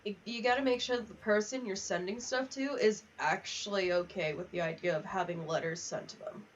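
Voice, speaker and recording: A woman's voice: medium pitch, a little fast. A young woman chatting casually on a gaming livestream, rambling from one aside to the next. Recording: distant, slightly muffled mic.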